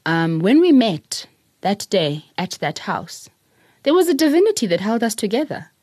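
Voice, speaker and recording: A middle-aged South African woman, a public speaker, telling her story in an interview in an earnest, reflective way, with soft laughs. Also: medium pitch, very animated, normal speed, dry recording, clean studio sound. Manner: earnest, repeats words, laughs softly while telling stories.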